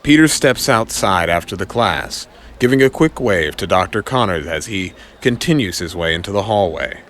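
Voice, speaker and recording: A man's voice: low-pitched, lively intonation, moderate pace, a bit bright, a little hiss or hum. A man narrating a scripted audio comic story in a dramatic storytelling style.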